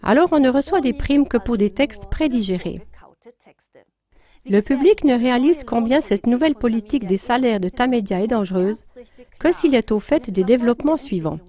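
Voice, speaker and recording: A woman's voice: on the high side, expressive, moderate pace, warm tone. A woman reading a scripted news commentary as a voice-over, in an earnest, emphatic tone.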